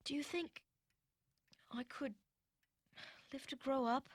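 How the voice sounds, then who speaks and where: An actor playing a sickly, spoiled young boy in an animated drama, with an imperious, whiny, dramatic manner. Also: very high-pitched, moderate pace, noisy background. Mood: fearful, subdued.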